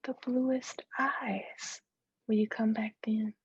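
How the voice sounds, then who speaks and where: A woman's voice: on the high side, level tone, slow pace, husky, highs cut off, full character voice. A woman voicing an anxious young girl who pleads and keeps asking insistent questions in a dramatic literary read-aloud. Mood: pleading, fretful. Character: young girl, anxious, pleading, obsessed with having blue eyes.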